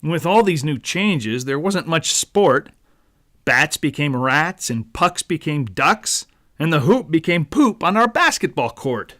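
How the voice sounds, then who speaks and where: A man narrating a rhyming children's story as its author, in a dramatic, deliberate storyteller's manner. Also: fairly high pitch, sing-song, a bit bright. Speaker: author reading own book.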